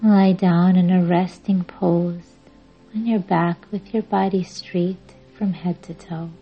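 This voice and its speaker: A woman guiding a yoga nidra meditation in a measured, soothing, instructing way with long pauses. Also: lowish voice, steady tone, husky. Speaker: meditation podcast host and guide. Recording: dull lo-fi audio under music. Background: music.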